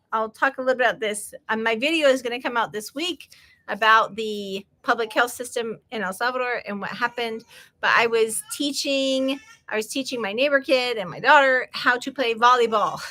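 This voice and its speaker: A woman's voice: medium pitch, sharp tone. A middle-aged American woman hosting a casual livestream chat, chatty and rambling, laughing often between asides. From United States. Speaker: livestream host.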